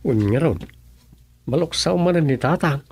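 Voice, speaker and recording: A woman's voice: deep voice, measured pace, vocal fry, a little mellow, slightly rough, no echo, full character voice. A woman with an Ilocano Filipino accent playing a blunt villager in a radio comedy-drama. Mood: dismissive.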